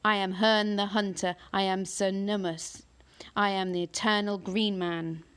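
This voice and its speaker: A woman reading aloud, voicing a huge ancient male tree monster with slow, booming declamation. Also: fairly even intonation, natural voice.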